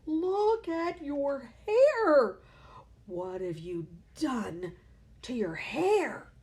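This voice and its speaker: A woman voicing a loud, scornful mother who shrieks at her husband in a storybook reading. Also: high-pitched, sing-song, drawn-out words, pitched above the performer's own voice, exaggerated voice. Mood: shrieking. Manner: shrieking, stammering with outrage. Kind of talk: read-aloud storybook acting.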